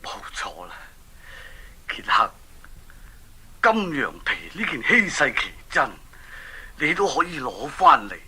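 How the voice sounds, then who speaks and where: Kindly old hk cantonese king, slow n theatrical, swoopy pitch, hissy old cassette radio play. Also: very animated, sharp tone, husky, heavily acted. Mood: jovial, proud. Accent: Hong Kong Cantonese. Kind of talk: 1980s children's radio drama acting. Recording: hissy 1980s cassette recording.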